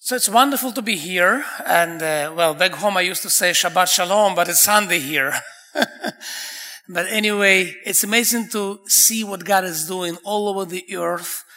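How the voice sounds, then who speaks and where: A middle-aged man, English not his first language, preaching as a visiting pastor in an excited, joking way. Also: high-pitched. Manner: excited, joking, fast with restarts. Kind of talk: sermon.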